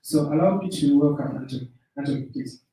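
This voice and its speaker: Zambian male moderator, fairly high voice, halting delivery, very reverberant room. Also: mellow. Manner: hesitant, halting. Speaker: session moderator. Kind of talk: informal session introduction. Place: conference room. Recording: very echoey room, distant mic.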